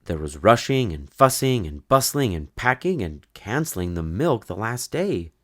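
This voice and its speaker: A man reading a children's story in verse aloud, with an expressive, measured, sing-song delivery. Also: lowish voice, no room sound, clean studio sound. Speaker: storybook reader.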